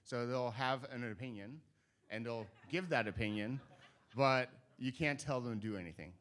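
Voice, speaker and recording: A man's voice: mid-range voice, normal speed, fairly bright tone. A Dutch man co-chairing a conference, talking casually and joking with a live audience on stage. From Netherlands. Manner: chatty, self-deprecating, joking asides.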